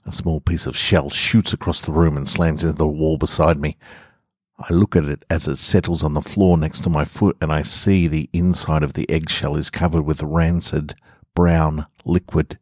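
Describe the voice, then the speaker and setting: A man's voice: extremely low pitch, flat delivery, normal speed, no room sound. An Australian man hosting a podcast and reading stories, speaking close to the microphone. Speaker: podcast host and story reader. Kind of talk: casual podcast introduction, then first-person horror story narration. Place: garage.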